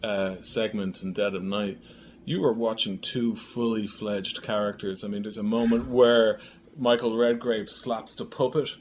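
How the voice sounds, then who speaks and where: A man talking casually in an interview as a writer and director, explaining his work haltingly and with enthusiasm. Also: fairly low pitch, steady tone. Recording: faintly noisy room ambience.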